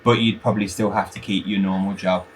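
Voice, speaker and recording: Lowish-voiced deadpan man. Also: fast, a little hiss or hum. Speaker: prank caller. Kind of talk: casual phone prank chat.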